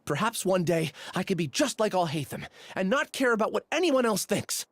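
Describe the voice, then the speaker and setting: A man voicing a young male scholar in a game, talking softly and reflectively, confessing with quiet resolve. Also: sits high, very animated, normal speed, background noise.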